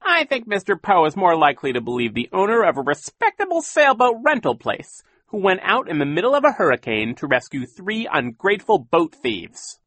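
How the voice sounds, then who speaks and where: A man voicing a sneering, greedy villain captain who mocks children loudly. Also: high voice, wildly swooping pitch, fairly quick, few pauses, no room sound, pitched above the performer's own voice, full character voice. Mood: mocking. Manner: mocking, gloating, loud.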